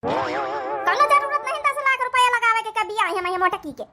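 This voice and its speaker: A woman's voice: extremely high pitch, lively intonation, moderate pace, over music. A woman voicing a haughty, domineering old village mother-in-law in an Awadhi accent, for a cartoon comedy. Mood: scornful, indignant. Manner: haughty, scolding, rustic. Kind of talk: Hindi moral-story cartoon comedy acting.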